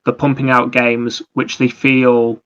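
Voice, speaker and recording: Male co-host for casual gaming chat. Bright tone, narrow pitch movement, explanatory. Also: flat delivery, slow pace, clear, resonant tone. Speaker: podcast co-host. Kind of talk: casual video game discussion.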